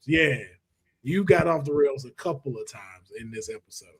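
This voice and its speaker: A man's voice: mid-range voice, expressive, moderate pace. A man hosting a podcast, chatting in a casual, teasing way full of slang. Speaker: podcast host. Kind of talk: casual chat.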